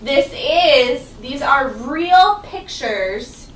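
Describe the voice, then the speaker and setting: A woman's voice: high-pitched, lively intonation, extremely slow. A woman leading a group of children, reading aloud and prompting them with questions, often repeating words. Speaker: after-school group leader. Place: indoor classroom, recorded from a distance. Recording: echoey room, distant mic, background noise.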